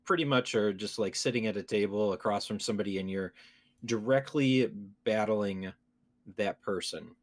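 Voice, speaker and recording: Male podcast co-host, casual video game chat, lower-pitched voice. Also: normal speed.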